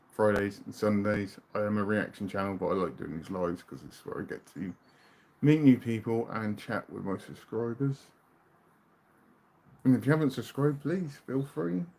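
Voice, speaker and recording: British man, livestream host, easygoing chat from home, drifts quiet at line ends. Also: medium pitch. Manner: relaxed, chatty, trails off into quiet mumbles. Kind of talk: casual live chat reading viewer comments.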